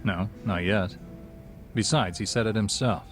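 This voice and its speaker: A shrewd bartender played by a man in a game cutscene, with a low voice and a measured, composed delivery. He is dry and discreet, and music plays clearly behind him. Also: lightly acted. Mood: calm, helpful. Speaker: video game character. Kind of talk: dramatic game cutscene acting.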